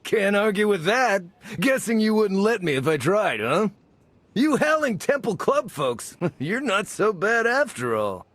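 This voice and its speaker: Wildly swooping, vain scoundrel. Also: male voice, sits high, very animated, fairly bright tone, no echo, full character voice. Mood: relieved, eager. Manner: pompous, flustered, dramatic pauses. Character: vain, bumbling, theatrical male scoundrel gang leader. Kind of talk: video game character acting.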